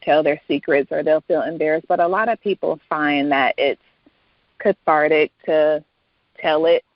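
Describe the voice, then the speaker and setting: A woman's voice: sits low, fairly even intonation, normal speed, no room sound. A woman speaking as an interview guest and author, chatting casually in a reflective, conversational way. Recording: dull lo-fi sound.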